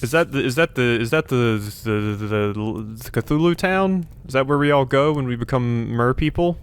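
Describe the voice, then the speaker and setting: A man's voice: medium pitch, animated, normal speed, clean tone. A man giving casual let's play commentary, musing aloud in a wry way as he goes through a video game.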